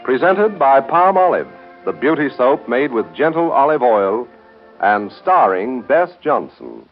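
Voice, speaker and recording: A man's voice: mid-range voice, very animated, unhurried. An American man announcing and narrating a 1930s radio serial from a script. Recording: dull, band-limited 1930s radio broadcast. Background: music.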